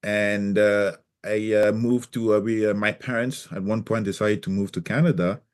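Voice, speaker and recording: A man with a lowish voice and a mixed French and Cameroonian accent. He is a native French speaker from Cameroon, telling his story as a guest in a relaxed chat. He hesitates often and restarts his sentences as he searches for words. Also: moderate pace, clean tone. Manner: hesitant, many fillers and restarts. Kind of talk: casual interview chat.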